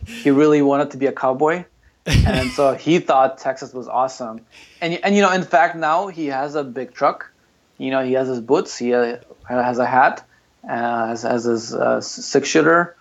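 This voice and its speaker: Relaxed male guest, slightly muffled sound. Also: mid-range voice, normal speed. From Ukraine. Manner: relaxed storytelling with fillers. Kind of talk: casual interview.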